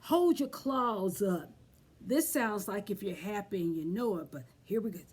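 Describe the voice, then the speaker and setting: A woman's voice: mid-range voice, animated, unhurried, a little mellow, husky. A woman leading a children's storytime, reading aloud and chatting expressively to young listeners.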